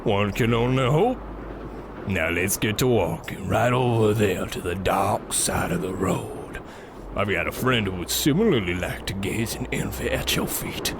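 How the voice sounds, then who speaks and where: A man's voice: lowish voice, unhurried, close to an everyday voice. A man playing a sly street mugger who feigns friendliness with sardonic menace in an old-time radio comedy. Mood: menacing. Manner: sardonic, insinuating street-tough talk. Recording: noisy street ambience with traffic.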